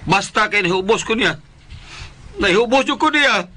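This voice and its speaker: Sulky, high-pitched old Visayan father. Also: lively intonation, normal speed, sharp tone, exaggerated voice. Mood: sulky, hurt. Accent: Filipino, Visayan. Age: older. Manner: sulky, petulant complaining. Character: grieving widowed father, melodramatic, sulky and stubborn. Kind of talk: radio drama acting.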